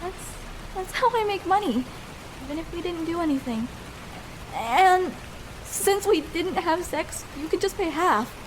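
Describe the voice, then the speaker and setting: A woman's voice: very high-pitched, expressive, unhurried, background noise. A young woman playing a shy, vulnerable escort in an intimate ASMR roleplay, speaking hesitantly and tenderly with small stammers. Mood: flustered.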